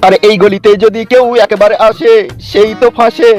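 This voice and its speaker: A man's voice: high-pitched, fairly even intonation, normal speed, a little mellow, soft background music, natural voice. A man voicing a cheeky, brazen village husband in a comedy cartoon, teasing and crude. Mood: teasing, playful.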